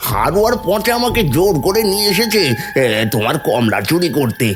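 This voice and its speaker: Wheedling, animated village thief over music. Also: male voice, high-pitched, normal speed. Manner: pleading, excuse-making. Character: whiny, wheedling village thief, a cowardly man. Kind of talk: Bengali fairy-tale cartoon acting. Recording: music under the voice.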